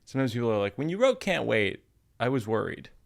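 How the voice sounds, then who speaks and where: Male podcast host, mid-pitched, dry and teasing, mock-serious banter with a guest. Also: big pitch swings, quick pace, vocal fry. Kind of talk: casual comedic interview.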